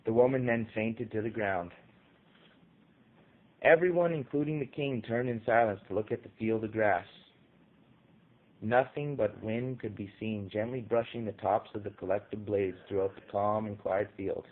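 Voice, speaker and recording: A man's voice: on the low side, normal speed, phone-quality sound. A man reading a short story aloud as dramatic scripted narration.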